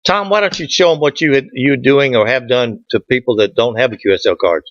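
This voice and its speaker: A man's voice: mid-range voice, fairly quick, no room sound. A man from Georgia with a Southern US accent, presenting an informal club class in a folksy, joking way. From Georgia, southern United States. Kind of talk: informal slide lecture with group chat. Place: indoor meeting room, at a lectern. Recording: dull, muffled room recording.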